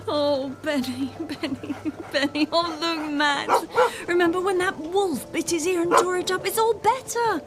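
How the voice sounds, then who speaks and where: High-voiced sardonic wife, music behind. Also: female voice, a little slow. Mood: elated, tender. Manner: sarcastic, needling. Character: sardonic, demanding, discontented wife stuck on an island. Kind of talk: radio drama acting.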